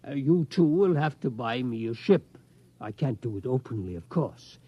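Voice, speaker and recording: An actor playing an obsessed, determined old tycoon in a 1950s radio drama, reminiscing and insistent. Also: male voice, mid-range voice, animated, moderate pace, slightly rough. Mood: brisk, scheming. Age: older. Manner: reminiscing, insistent, tags sentences with "hmm?". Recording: dull 1950s radio recording.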